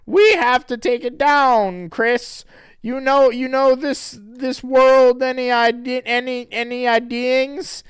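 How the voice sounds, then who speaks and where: A man doing a shrill bossy girl heroine. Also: steady tone, takes it slowly, clean tone, dry recording. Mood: panicked. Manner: shrill, breathless falsetto.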